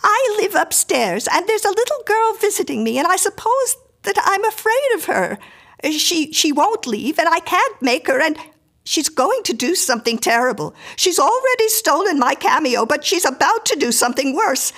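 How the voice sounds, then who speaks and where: A woman voicing a frightened, tearful older married woman in an audiobook, halting and stammering as she speaks. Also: extremely high pitch, very animated, a bit bright, pitched above the performer's own voice, full character voice. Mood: frightened, flustered. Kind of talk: audiobook character acting.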